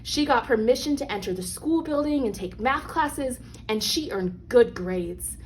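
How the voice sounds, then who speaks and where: A woman with a fairly high voice reads a picture book aloud to children. She phrases things deliberately and leans on key words for young listeners. There is some noise in the recording behind her. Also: expressive. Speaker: read-aloud storyteller. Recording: clearly noisy background.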